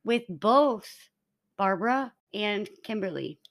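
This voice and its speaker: Woman hosting a true crime pod, medium pitch, reading out the story but kinda chatty about it. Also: animated, slow pace. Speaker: true crime podcast host. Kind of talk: storytelling narration mixed with casual chat.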